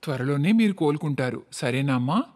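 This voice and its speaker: Reassuring doctor, slightly high voice. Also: male voice, expressive, brisk, a little mellow. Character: reassuring, courteous male hospital doctor. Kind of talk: cartoon moral story voice acting.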